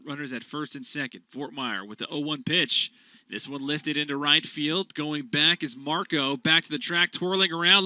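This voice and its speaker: A man calling a college baseball game as radio play-by-play announcer, steady between pitches and rising loud on big plays. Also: dry recording, poor audio.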